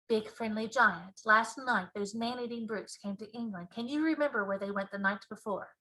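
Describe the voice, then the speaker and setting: A woman voicing a composed, commanding queen in a children's storybook, measured and matter-of-fact. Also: on the high side, pushed and bright, rough recording. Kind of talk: children's storybook character reading.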